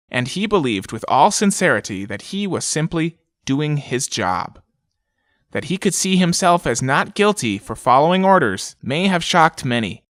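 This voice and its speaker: Guy doing a formal corporate researcher reading his report, deliberate and clinical, lots of pitch movement, no room sound. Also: fairly bright tone, dry recording, clean studio sound. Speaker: audio drama narrator. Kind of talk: scripted narration, reading letters and documents.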